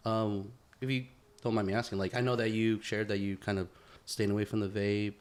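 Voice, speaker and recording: A man's voice: lowish voice, flat delivery, a little fast. A man in his thirties co-hosting a podcast, talking in a friendly, encouraging way with lots of fillers. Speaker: podcast co-host. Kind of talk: casual chat.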